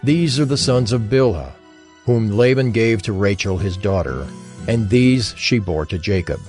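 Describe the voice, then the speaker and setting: A man narrating scripture and reading a genealogy deliberately, naming each person one at a time. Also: lowish voice, darkish tone, faint music behind. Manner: deliberate, listing names one by one.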